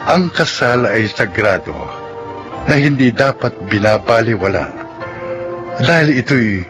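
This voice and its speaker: Slow, swooping male radio drama announcer. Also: medium pitch, animated. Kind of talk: scripted dramatic narration in classic radio style. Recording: music bed under the voice.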